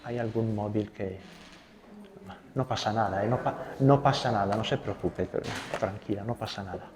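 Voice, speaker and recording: A young man from Italy, a Carmelite friar with an Italian accent, giving a gentle spiritual talk and leading prayers. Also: on the low side, long reverb tail, over music. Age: young adult. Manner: gentle, unhurried, adds tag questions.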